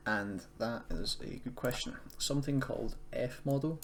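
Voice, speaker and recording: A man's voice: medium pitch, normal speed. A man presenting a casual screen-recorded tutorial, explaining in an unscripted, hesitant way. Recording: noisy home recording, keyboard typing.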